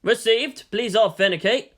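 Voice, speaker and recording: A narrator playing a weary male army general, formal and measured, who reasons and pleads firmly. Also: mid-range voice, a bit bright, pitched above the performer's own voice. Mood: formal, commanding. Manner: measured, official, placating.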